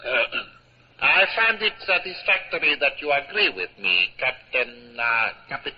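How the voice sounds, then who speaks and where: An actor playing a grandiose, coolly cruel mad king in a 1950s radio drama, speaking in a pompous, measured way. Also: mid-range voice, sing-song. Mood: haughty. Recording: dull old 1950s radio recording.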